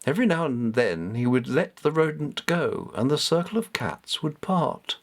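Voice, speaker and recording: A man reading his own story aloud as expressive, scripted storybook narration. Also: on the high side, moderate pace.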